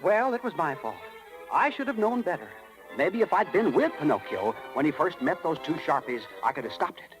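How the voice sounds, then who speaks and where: A man's voice: on the high side, very animated, normal speed, rough recording. An actor playing an earnest, rueful cricket, confiding his tale in 1930s radio storytelling style. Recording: old radio broadcast with music.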